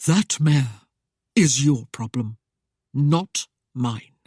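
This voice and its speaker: A man voicing a cold, aloof nobleman who speaks in a curt, measured way. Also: mid-range voice, a little mellow, slightly rough, no echo, clean studio sound. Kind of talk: audiobook character voice.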